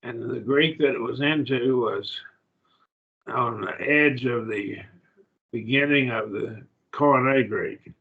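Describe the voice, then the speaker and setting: A man teaching a college-level Bible study class in a plain, halting lecture with restarts and asides. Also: mid-range voice, narrow, tinny audio.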